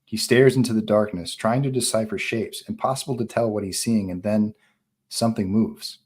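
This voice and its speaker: A man's voice: lowish voice, normal speed, darkish tone, breathy edge. A man narrating a screenplay at a live table read, reading terse scene directions in short phrases.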